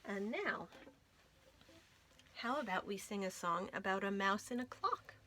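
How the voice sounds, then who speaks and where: A woman hosting a children's storytime, reading stories and nursery rhymes aloud in an expressive, clearly enunciated way for young listeners. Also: mid-range voice, unhurried. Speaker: children's storytime host at a library.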